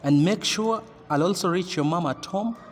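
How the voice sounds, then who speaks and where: An actor with a Kenyan accent playing a stern class teacher who lectures and scolds in a halting, emphatic way in an amateur radio drama. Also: male voice, sits high, lightly acted. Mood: scolding. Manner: admonishing, emphatic, halting delivery. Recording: noisy, very reverberant room.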